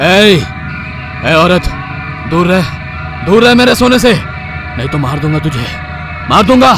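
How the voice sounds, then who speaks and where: A man playing a haunted, gold-obsessed policeman, loud and agitated, repeating himself in a horror story. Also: sits high, expressive, unhurried. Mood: crazed, threatening. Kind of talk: dramatised horror story acting. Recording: music behind the voice.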